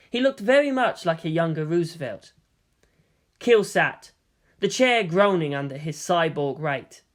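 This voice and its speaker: A narrator reading a scripted fan fiction story in audiobook style. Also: medium pitch.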